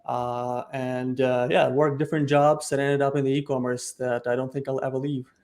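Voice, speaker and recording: Ukrainian-accented man with a mellow voice. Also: medium pitch, flat delivery, normal speed. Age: 30s. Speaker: podcast guest. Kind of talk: casual business chat.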